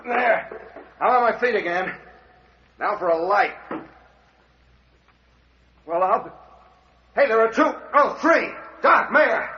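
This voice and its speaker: An actor playing a brisk, commanding, hard-boiled captain and investigator with a wry edge in an old-time radio mystery. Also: male voice, high voice, expressive, a little hiss or hum. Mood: urgent, shouting up. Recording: muffled old radio drama recording.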